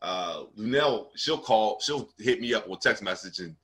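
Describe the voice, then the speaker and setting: A man from South Jersey, a comedian hosting a casual conversation, relaxed and quick to agree with his guest. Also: lowish voice. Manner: laid-back, frequent "right, right" agreement. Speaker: comedian and host.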